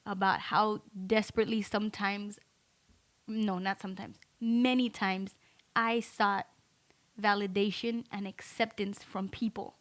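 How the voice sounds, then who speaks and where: A woman co-hosting a podcast in a recording studio, talking loudly and emphatically in casual chat and reading out listener comments. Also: medium pitch, flat delivery, measured pace. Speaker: podcast co-host.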